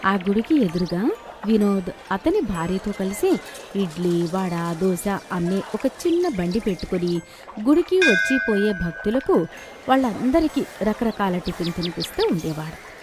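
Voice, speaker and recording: A woman's voice: medium pitch, big pitch swings, moderate pace, noisy background. A woman storyteller giving scripted narration for a children's cartoon tale.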